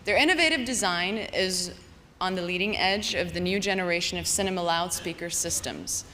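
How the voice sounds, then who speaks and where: A woman's voice: lowish voice, lively intonation, normal speed, pushed and bright. A woman awards presenter reading scripted citations aloud to a formal gathering. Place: large hall at awards ceremony. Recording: echoey hall PA, background noise.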